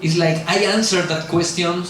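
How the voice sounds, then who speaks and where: A man's voice: a little high, fairly even intonation, normal speed, fairly bright tone. A middle-aged man, not a native English speaker, giving a loose, rambling university talk full of fillers and self-corrections, blunt and self-mocking. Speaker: guest speaker at a university lecture. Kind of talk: casual lecture talk with Q&A. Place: large echoey lecture hall. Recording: very echoey hall, distant mic, faint hiss.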